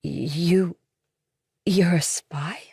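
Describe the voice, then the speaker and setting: A woman's voice: lowish voice, very slow, gravelly, dark tone, slightly rough, no echo, studio quality, heavily acted. A woman voicing a bold, inquisitive young civilian, talking bluntly and casually in audiobook dialogue. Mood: fearful.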